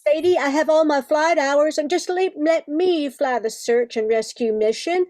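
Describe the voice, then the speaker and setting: A woman's voice: high-pitched, moderate pace, darkish tone, no echo, close to an everyday voice. A woman playing an eager young female pilot in a radio play, pleading urgently. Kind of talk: old-time radio play acting.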